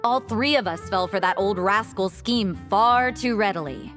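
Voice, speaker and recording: A woman's voice: medium pitch, moderate pace, a bit bright, faint music behind, lightly acted. A woman voicing a cool, blunt young nun in a video game, with a dry, matter-of-fact delivery.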